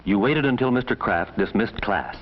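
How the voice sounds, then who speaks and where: A stern narrator of a vintage social guidance film. His low voice moves deliberately, pressing reproach on the boys he addresses. The soundtrack is dull and dated. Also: expressive. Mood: grave, reproachful. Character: stern reproachful male narrator lecturing schoolboys. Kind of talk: 1950s educational film narration, second-person address.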